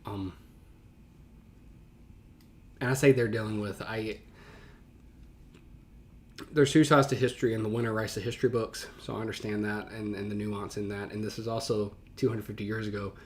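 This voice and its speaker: A man with an American accent reacting out loud as a teacher, in casual slang, thinking as he goes and paraphrasing in animated fashion. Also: on the low side, moderate pace, long pauses. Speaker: teacher reacting to a historical reading.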